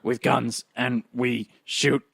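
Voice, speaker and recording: A man with a gravelly, husky voice improvising in character in a tabletop game, halting and repeating words. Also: mid-range voice, flat delivery, fairly bright tone, no echo, clean studio sound. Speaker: player in a tabletop roleplay game.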